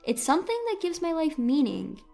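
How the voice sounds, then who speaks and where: A woman's voice: high voice, very animated, fairly quick, gravelly, darkish tone, faint music behind. A woman reading a scripted first-person story as a dramatic narrator.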